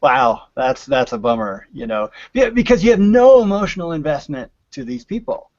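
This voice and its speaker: A man's voice: fairly high pitch, very animated, normal speed, darkish tone, dry recording, highs cut off. A man speaking as a podcast guest, explaining writing craft conversationally with hypothetical examples and frequent check-ins.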